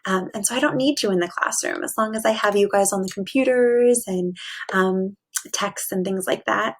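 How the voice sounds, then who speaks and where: A woman's voice: medium pitch, moderate pace. A woman teacher reading aloud and chatting casually to young children in an encouraging way. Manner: encouraging, asks the listeners questions.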